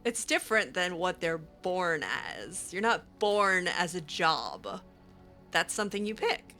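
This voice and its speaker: A woman's voice: lowish voice, expressive, measured pace, sharp tone, soft background music. A woman hosting a game roleplay, talking back to characters with sarcastic, hesitant remarks and laughs. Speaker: roleplay game player and channel host.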